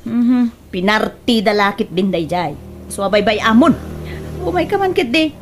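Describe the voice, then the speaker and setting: A woman playing a scheming, excitable rural wife in an Ilocano comedy radio drama, with an animated, exclamatory delivery and Ilocano accent. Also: a little high, moderate pace, lightly acted. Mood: coaxing. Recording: clearly noisy background.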